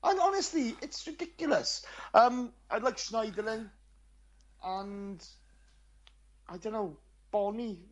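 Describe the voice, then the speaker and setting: A man chatting about football as a podcast guest, halting, exasperated and sweary. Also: high voice, muffled recording. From Wales. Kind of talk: casual football chat.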